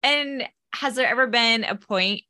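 A woman's voice: fairly high pitch, a little slow, bright, forceful tone, dry recording, studio quality. A woman hosting a podcast as a life coach, welcoming her guest and asking interview questions in an upbeat, friendly way.

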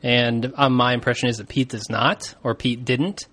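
A man's voice: mid-range voice, fairly even intonation, moderate pace, fairly bright tone. A man co-hosting a podcast, casually recapping a TV episode in a laid-back, jokey way and laughing easily. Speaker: podcast co-host.

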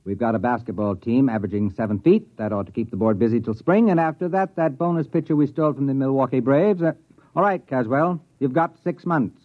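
A man's voice: medium pitch, a little fast, natural voice. A man acting as a wry, worldly university administrator who narrates his own story in a mid-century radio drama style. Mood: brisk, skeptical. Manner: wry, sardonic. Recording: thin phone-like vintage radio recording, faint music.

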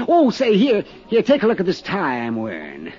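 Excitable, giggly high-voiced man. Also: moderate pace. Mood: giddy, eager. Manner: eager, insistent, giggly, folksy. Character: excitable giggling man showing off a novelty necktie. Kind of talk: 1950s radio drama acting. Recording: dull, noisy 1950s radio recording.

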